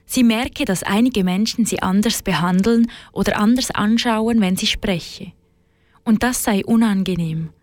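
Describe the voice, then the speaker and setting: A woman reporter reading a scripted radio news segment in an even tone. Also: medium pitch, measured pace, no echo.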